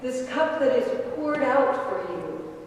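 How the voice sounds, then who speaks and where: A woman reading scripture solemnly and deliberately during a church service. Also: high voice, very animated. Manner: measured, deliberate phrasing. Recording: distant, very echoey church, noisy.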